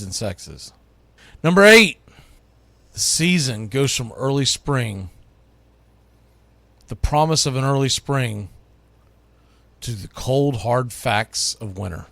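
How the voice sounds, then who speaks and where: A man hosting baseball radio, delivering an opinionated countdown monologue with emphatic, punched phrases and dramatic pauses. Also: mid-range voice, vocal fry, fairly bright tone, dry recording. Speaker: baseball radio host and play-by-play announcer.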